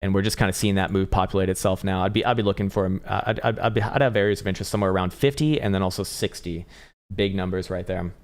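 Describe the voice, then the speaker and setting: A man hosting a live crypto trading stream, talking loosely and profanely with halting restarts as he reads viewer chat, his voice getting strained and hoarse. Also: deep voice. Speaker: crypto trading livestream host. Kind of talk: casual live-stream chart commentary.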